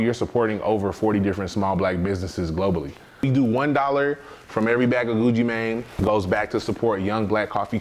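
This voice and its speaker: A man's voice: fairly low pitch, a little mellow. A man giving conversational interview answers as a small business owner.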